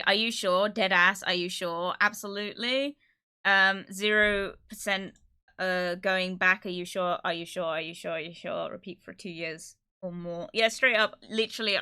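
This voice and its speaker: A woman's voice: mid-range voice, bright, forceful tone. A woman in her twenties chatting casually and candidly as a live streamer, emphatic and repeating phrases for stress. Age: 20s. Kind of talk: casual livestream chat, candid personal talk.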